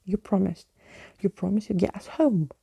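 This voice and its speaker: A woman's voice: medium pitch, moderate pace, vocal fry, dark tone, breathy edge, dry recording. A woman voicing a grumpy, impatient young girl in a storybook, snappy and scornful.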